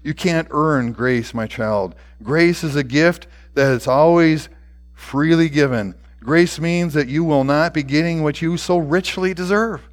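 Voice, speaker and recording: A man voicing a gentle, solemn older principal in a story, speaking quietly and deliberately. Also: fairly high pitch, natural voice. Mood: patient, explaining. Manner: quiet, slow, deliberate, near whisper at times.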